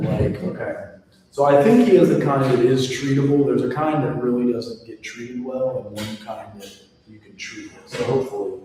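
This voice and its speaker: Man in his forties, casual instructor with personal asides, booming echo, mic far away. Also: dark tone, a little hiss or hum. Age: 40s. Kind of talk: casual classroom lecture. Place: classroom. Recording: echoey hall recorded from far off.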